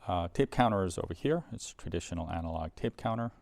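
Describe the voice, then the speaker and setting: A man's voice: low-pitched, lively intonation, quick pace, gravelly, a little mellow, no echo. A man giving a casual, explanatory product demonstration in an informal way, as a presenter for an equipment seller.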